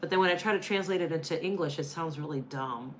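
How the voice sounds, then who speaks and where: Older woman co-host, low chatty voice, faint room noise. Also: fast. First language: Spanish. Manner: chatty, laughs often. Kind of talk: casual live Q&A chat.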